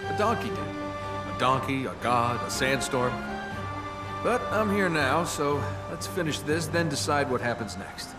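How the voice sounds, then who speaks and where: A man's voice: medium pitch, animated, a little slow, natural voice. An actor playing a cocky, wisecracking young male adventurer in a video game, with a sardonic, bantering manner. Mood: wry, resigned. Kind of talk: video game cutscene voice acting. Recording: music behind the dialogue. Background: game score.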